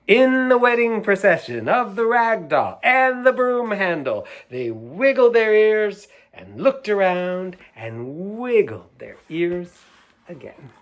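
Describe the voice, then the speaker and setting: Slow, playful man reading a picture book. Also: sits high. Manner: unhurried, playful, talks directly to young listeners. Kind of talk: read-aloud storytime with asides about the pictures.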